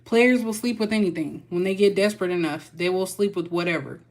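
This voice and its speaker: A woman's voice: on the low side, fairly quick, darkish tone. Chatty tarot reader woman, Texas drawl. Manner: chatty, loose, folksy with y'all. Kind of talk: casual spiritual reading.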